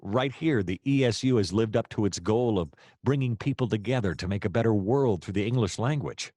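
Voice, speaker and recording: Deep-voiced noir detective, played by a man. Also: brisk, dark tone, no room sound, natural voice. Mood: earnest, gallant. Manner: dramatic, wry, formal phrasing. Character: male noir private detective, wry, gallant, smitten narrator. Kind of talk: noir radio play narration and dialogue.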